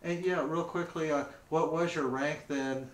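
A man's voice: fairly high pitch, steady tone, unhurried. Male interviewer, brief prompts, distant and roomy. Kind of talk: interview questions.

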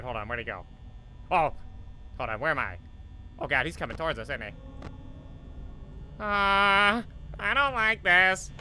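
Man playing a bright, excitable, nervous cartoon teacher. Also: high-pitched, slight background noise, full character voice, rough recording. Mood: scared. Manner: exclamatory, repeating questions, easily flustered. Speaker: gameplay video host. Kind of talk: in-character horror game play commentary.

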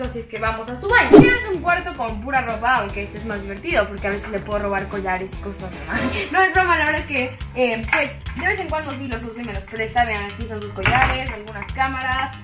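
A woman's voice: fairly high pitch, far from the mic. A young woman giving a chatty, laughing house tour vlog to her handheld camera, walking through a large house. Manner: bubbly, chatty, often laughing. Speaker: vlogger. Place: large house indoors, rooms with hard surfaces. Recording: echoey rooms with music underneath.

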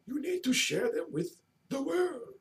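A whimsical, very old gentleman tells his tales with grand, halting drama. The pitch is extremely high and swings widely up and down. He is heard at a distance from a webcam microphone in a home room, like a comedy web storyteller. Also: sing-song, heavily acted. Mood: welcoming, theatrical. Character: whimsical, doddering, very old male storyteller, theatrical. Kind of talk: comedic in-character storytelling to camera. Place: small home room, indoors. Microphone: webcam at desk distance.

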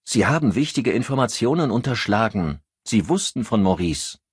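A man voicing an irritable male police inspector who presses for answers, insistent and sharp, in an audiobook crime story. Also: fairly low pitch, big pitch swings, moderate pace, slightly rough, no echo, clean studio sound, pitched above the performer's own voice, full character voice. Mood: indignant.

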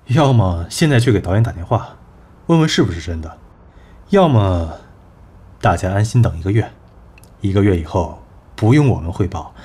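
A man playing a cool, assured agency executive in an audio drama, measured and persuasive. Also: lowish voice, darkish tone, slightly rough. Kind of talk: Chinese audio drama acting.

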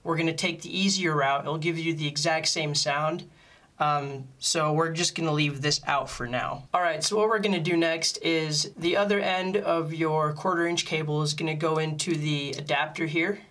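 A man's voice: on the high side, fairly even intonation, moderate pace, a bit bright. A man giving a casual step-by-step tutorial in a plain-spoken, explanatory way. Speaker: music gear tutorial presenter.

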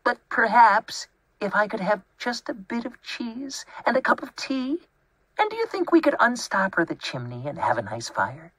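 Narrator doing a tiny polite storybook mouse, high lilting voice, meek but won't quit, dainty n courteous. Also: big pitch swings, moderate pace, slightly rough, no room sound, low-quality recording. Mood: polite, apologetic. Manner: soft-spoken, courteous, dainty.

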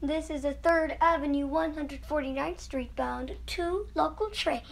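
A woman reading scripted subway train announcements in a measured, formulaic manner. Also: sits high, creaky.

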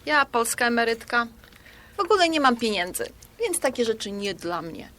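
Modest sixtyish Polish woman, expressive. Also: on the high side, normal speed, slight background noise. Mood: self-deprecating. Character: modest, self-doubting Polish woman pensioner around sixty. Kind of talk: radio drama acting.

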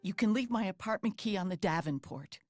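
A woman's voice: mid-range voice, lively intonation, fairly quick, faint music behind, close to an everyday voice. An actress voicing an elderly woman with fussy, old-fashioned speech in a cartoon. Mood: stern. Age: older.

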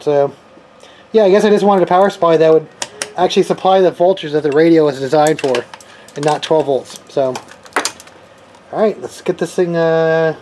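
A man's voice: a little high, dark tone. A man chatting informally as he hosts a hands-on demonstration, thinking aloud as he goes. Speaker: host of electronics and radio unboxing videos. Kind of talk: casual chat, hands-on demonstration. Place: indoors at a cluttered desk. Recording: noisy home camera recording. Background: clear background noise.

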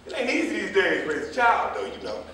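An echoey stage drama features a man playing an older jitney driver who moralizes and meddles. His folksy, rambling speech swings widely in a high register. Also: wildly swooping pitch, fast talker, sharp tone, exaggerated voice. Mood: nostalgic, chatty. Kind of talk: live staged play reading. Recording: echoey, distant stage recording with faint noise.

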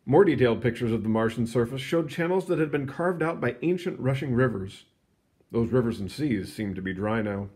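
Expressive man reading aloud, very animated intonation. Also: medium pitch, brisk, husky. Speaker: read-aloud narrator. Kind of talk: reading a children's science book aloud, with short asides.

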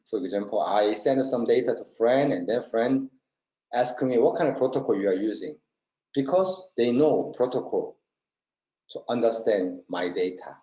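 Mellow man lecturing, dull roomy sound. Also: mid-range voice. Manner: halting non-native English, often adds "am I right?". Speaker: webinar presenter, company marketing trainer. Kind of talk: technical webinar lecture. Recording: roomy, dull lo-fi webinar audio.

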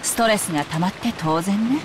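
A woman's voice: lowish voice, rapid-fire, background noise, natural voice. A woman voicing a composed, matter-of-fact character in a Japanese visual novel.

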